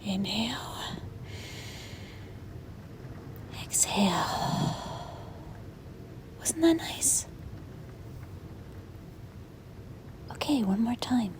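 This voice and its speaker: Woman playing a whispering, hesitant, gentle demon girl. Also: medium pitch, lively intonation, slow. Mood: tentative, soothing. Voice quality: breathy whisper. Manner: hesitant, rambling, soft-spoken. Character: gentle, apologetic, reluctant young female sleep paralysis demon. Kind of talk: whispered ASMR roleplay. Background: rain and wind.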